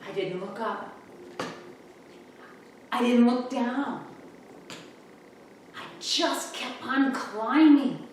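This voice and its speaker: Slow, echoey woman reading a storybook. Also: a little high. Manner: deliberate, expressive storytelling in first person. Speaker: storybook reader. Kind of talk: children's picture book read-aloud. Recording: echoey, distant mic, faint room noise.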